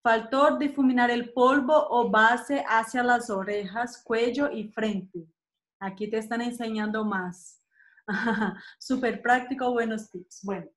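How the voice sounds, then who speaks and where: A woman in her thirties from Colombia with a Colombian accent, hosting a casual live makeup chat from a small room on a webcam, often checking in with "¿sí?". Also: fairly high pitch. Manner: chatty, tags questions with "¿sí?". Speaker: host of a live makeup session. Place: small indoor room, seated at a desk. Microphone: webcam at desk distance.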